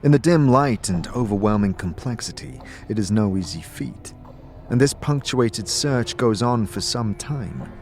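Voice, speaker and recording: Low-voiced man narrating dark fantasy, expressive. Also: unhurried, faint music behind. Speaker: narrator and game character in an audio series. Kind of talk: dramatic second-person fantasy storytelling.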